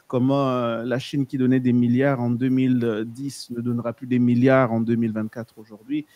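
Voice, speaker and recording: A man speaking as a panel guest, explaining in a measured way and often stopping to restart or correct himself. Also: mid-range voice, warm tone, dry recording. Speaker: guest, editor of a research outlet.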